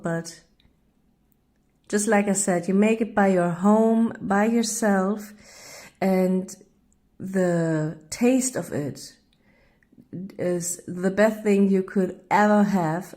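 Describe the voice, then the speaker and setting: A woman from northern Germany with a German accent, narrating her baking video in English, a second language, pausing often to find words. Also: mid-range voice, dark tone. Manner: searching for English words, apologetic, hesitant with many uh fillers. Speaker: cooking vlogger.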